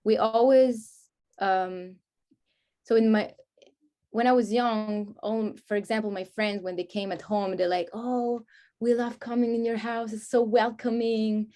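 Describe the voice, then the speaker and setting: A woman's voice: a little high, steady tone, unhurried, dark tone. Woman, casual and searching. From France (grew up in Paris), born in Israel. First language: Hebrew. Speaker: guest, music artist. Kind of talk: casual conversational interview.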